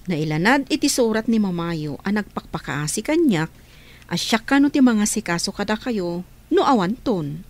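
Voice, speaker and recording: Woman playing a calm older caretaker. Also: mid-range voice, normal speed. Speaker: radio drama actor.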